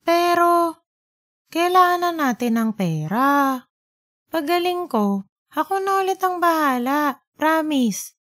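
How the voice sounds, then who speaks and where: A woman's voice: high-pitched, sing-song, takes it slowly, pauses often, a bit bright, dry recording, clean studio sound, pitched above the performer's own voice, full character voice. A woman voicing a cutesy, sweet-talking wife who coaxes in an audiobook. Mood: pleading, cutesy. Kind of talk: audiobook character reading.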